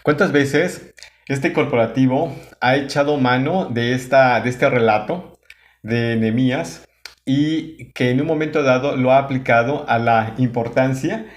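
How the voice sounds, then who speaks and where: Halting, wordy male commentator. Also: mid-range voice. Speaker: commentator reacting to a religious broadcast. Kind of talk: spontaneous critical commentary.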